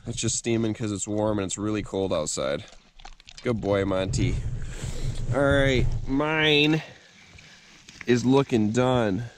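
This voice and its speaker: A man's voice: on the low side, slow, pauses often, background noise. A young man vlogging casually while camping in the snow at night, chatting to his dog and cooking over a fire. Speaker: outdoor vlogger. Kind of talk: casual camp vlog narration. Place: outdoors at a campfire in snow at night, then inside a snow shelter.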